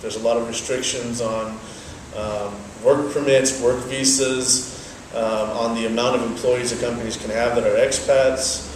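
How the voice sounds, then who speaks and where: A man's voice: mid-range voice, flat delivery, a little hiss or hum. Man with native Dutch, very echoey and distant. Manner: measured, explanatory, thoughtful pauses. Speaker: interviewee, business owner. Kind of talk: casual interview answers. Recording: very echoey, from a distance, faint ambience.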